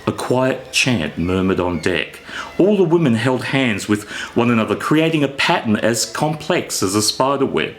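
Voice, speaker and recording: A man reading his own fiction aloud as an author, in a theatrical, deliberate storytelling manner. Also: medium pitch, sing-song, slight background noise. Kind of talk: expressive scripted story reading.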